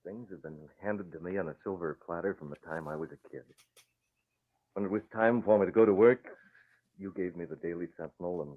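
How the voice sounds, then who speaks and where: A low-voiced, determined young crusader, measured and resolute. He delivers his lines as vintage radio drama on a muffled, narrow old recording. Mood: earnest, resolute. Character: earnest, determined crusading son, secret vigilante.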